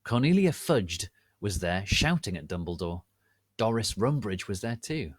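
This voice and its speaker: A man narrating fan fiction as a theatrical, deliberate dramatic reading. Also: fairly low pitch, very animated, no room sound, studio quality.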